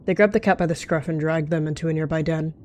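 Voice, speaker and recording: A woman's voice: sits low, fast, a little mellow. A woman narrating an improvised roleplay story in the third person, as one of the players. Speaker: roleplayer narrating and voicing cat characters.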